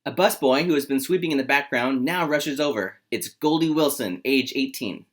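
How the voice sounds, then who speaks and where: A man's voice: mid-range voice, moderate pace. A man reads scene descriptions and stage directions from a script as narrator. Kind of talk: scripted narration, screenplay read aloud.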